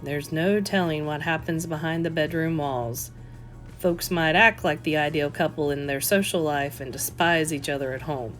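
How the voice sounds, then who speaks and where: A woman voicing a guarded, gruff but courteous male police detective in an audiobook, measured and terse. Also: sits low, a bit bright, faint music behind. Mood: dry. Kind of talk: audiobook character voice.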